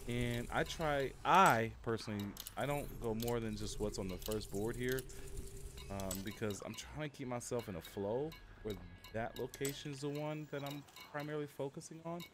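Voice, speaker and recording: A man's voice: mid-range voice, normal speed. A man giving casual, rambling, self-deprecating live commentary as he streams a game. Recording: clear background noise.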